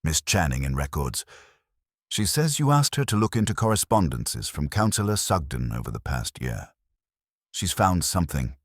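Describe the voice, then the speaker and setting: A man's voice: rumbling low, big pitch swings, moderate pace, dry recording, studio quality, full character voice. Man voicing a keen, deferential police sergeant. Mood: brisk. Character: keen, helpful male police sergeant, dutiful and plain-spoken. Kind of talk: audiobook detective story dialogue.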